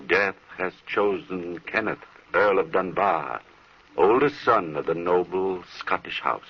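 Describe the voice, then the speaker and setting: An actor playing a grave, theatrical narrator of an old-time radio horror tale. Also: male voice, low voice, breathy edge, full character voice. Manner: theatrical, portentous. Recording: muffled old radio recording.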